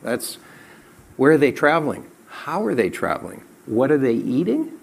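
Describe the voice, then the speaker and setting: A company president talking on a panel, explaining and promoting a product. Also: male voice, medium pitch, sing-song, moderate pace, creaky, slightly rough. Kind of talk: panel talk.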